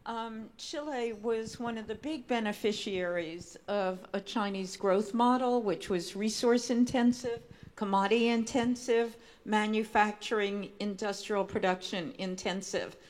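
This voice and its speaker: A woman moderating a conference panel, framing a question for a speaker in a measured, formal way. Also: mid-range voice, a little mellow.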